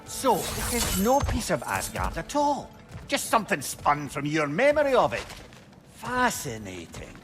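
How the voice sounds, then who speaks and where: An actor playing a chatty, wry older companion with a Scottish accent in a video game, teasing and talkative. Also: male voice, on the high side, wildly swooping pitch, measured pace, over music. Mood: curious, encouraging. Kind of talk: dramatic video game dialogue.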